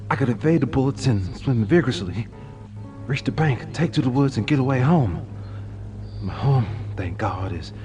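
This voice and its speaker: A man's voice: medium pitch, pitched above the performer's own voice, lightly acted. A man playing a condemned Southern planter in a story, urgently yearning to escape and get home. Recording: music under the voice.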